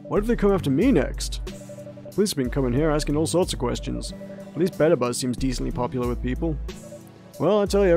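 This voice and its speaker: A man's voice: on the high side, creaky, warm tone. A man voicing a cheerful, sappy older shopkeeper in a visual novel, upbeat and folksy.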